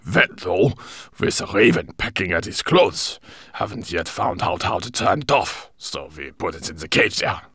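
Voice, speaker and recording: A man's voice: low-pitched, very animated, moderate pace, creaky, bright, forceful tone, slightly rough, dry recording, studio quality, exaggerated voice. A man reading an audiobook voices a pompous, portly older male professor with a haughty, condescending manner. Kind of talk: audiobook character voice.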